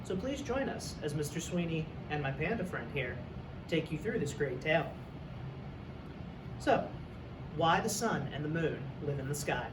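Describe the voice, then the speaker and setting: A man's voice: a little high, very animated, normal speed, long pauses. A man who works as a teacher, reading a story aloud for young students. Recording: echoey room, distant mic, faint noise.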